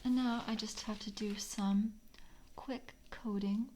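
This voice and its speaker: A woman voicing a calm, jaded vampire screener in an ASMR roleplay, speaking softly in a breathy half-whisper with a measured, politely official manner. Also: mid-range voice, slight background noise, close to an everyday voice. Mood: calm, businesslike. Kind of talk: soft-spoken ASMR roleplay.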